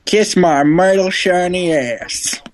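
Insolent robot appliance, played by a man, slightly high, rude and drawn-out in mechanical delivery. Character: rude, mouthy metal robot household appliance.

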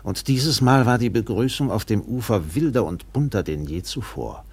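A weathered steamship captain in a radio play. His voice is very low and husky, and he speaks with widely swinging intonation, like a practised literary storyteller. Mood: measured, reflective. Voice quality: gravelly, husky. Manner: expressive, measured literary storytelling with acted dialogue. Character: weathered steamship captain recounting a colonial tale. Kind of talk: German radio play narration and dialogue.

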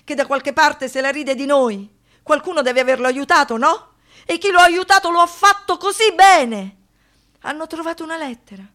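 A woman acting an anguished, defiant survivor of violence in a theatrical radio monologue, with an intense delivery that moves between hushed and explosive. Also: sits high, moderate pace. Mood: indignant. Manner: intense, swinging from hushed to outbursts.

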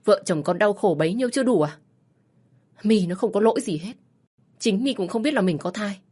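A woman's voice: mid-range voice, lively intonation, brisk, mellow, husky. A woman voicing a devoted young husband who comforts his wife and pleads with his mother. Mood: pleading. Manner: pleading, tender.

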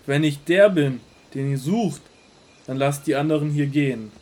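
Guy playing a calm but firm holy teacher in a bible audio drama, kinda high voice, declaims it. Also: moderate pace, a little hiss or hum, natural voice.

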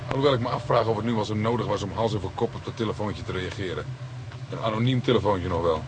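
An actor playing a young police detective in a radio play, an earnest, touchy and sceptical sidekick. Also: male voice, fairly low pitch, quick pace, lightly acted. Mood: grumbling. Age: young adult. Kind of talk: naturalistic radio play acting. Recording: radio play with clear background noise.

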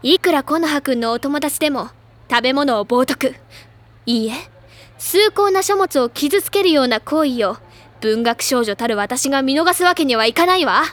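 An excitable teenage bookworm upperclassman with a high, girlish voice. She declaims and lectures theatrically, sure of herself, in an anime-style audio drama. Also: normal speed. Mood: indignant. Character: excitable, theatrical high-school literature-club girl, self-assured upperclassman.

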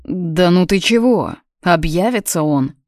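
A woman's voice: on the low side, expressive, measured pace, a little mellow, no echo, clean studio sound. A woman voicing an awkward young man in an audiobook, glum and mumbling. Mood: muttering. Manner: sad, muttering.

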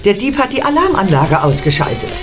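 An actress plays a self-assured woman artist in an audio drama. Her pitch moves freely as she recounts events in full, composed sentences. Music plays clearly behind her. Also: sing-song, a little fast, natural voice. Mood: calm storytelling. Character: self-assured female painter recounting a theft. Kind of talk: German audio drama acting.

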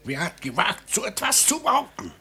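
A man acting an aging, imperious merchant father in a classic radio drama, speaking in a measured, formal way that can flare into indignation. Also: sits high, very animated, bright, forceful tone, slightly rough, heavily acted. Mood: indignant.

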